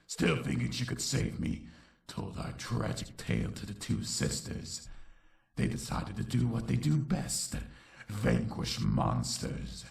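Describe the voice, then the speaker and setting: Super deep evil pony king, bitter n menacing, swoops around, echoey. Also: a little mellow, full character voice. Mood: bitter regret. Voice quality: gravelly. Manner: slow, dramatic, menacing delivery. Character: villainous monster king, brooding, vengeful, bitter male tyrant pony. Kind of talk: dramatic narration in a comic audio dub.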